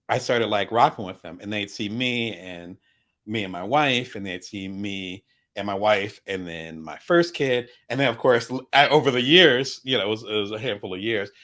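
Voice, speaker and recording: Middle-aged guy chatting to his viewers, lively and full of asides. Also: fairly low pitch. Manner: animated, chatty, with asides and shout-outs. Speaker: vlog host. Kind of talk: casual talk to camera about products.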